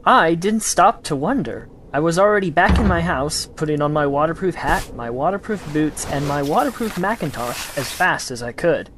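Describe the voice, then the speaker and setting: A woman's voice: sits low, normal speed, few pauses, background noise. A woman playing a kind, eager, earnest young boy who acts and narrates in an audio drama. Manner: earnest, lively, sympathetic storytelling. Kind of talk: audio drama acting with first-person narration.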